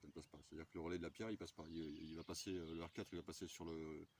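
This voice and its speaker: A man's voice: very deep voice, level tone, very fast, background noise. A man chatting in slangy, laid-back fashion as an amateur radio operator, rambling and correcting himself. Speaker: amateur radio operator filming his radio chat. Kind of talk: casual chat, slangy.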